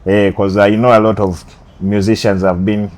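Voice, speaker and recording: Lower-voiced male interview host. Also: moderate pace. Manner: lively host intro, otherwise brief affirmations. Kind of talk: casual interview hosting.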